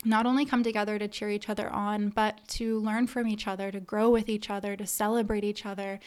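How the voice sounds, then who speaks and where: A woman's voice: medium pitch, level tone, brisk. A woman hosting a solo podcast, speaking conversationally in an earnest, encouraging, emphatic way as a coach addressing listeners. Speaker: podcast host and business coach. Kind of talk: conversational solo podcast monologue with membership pitch.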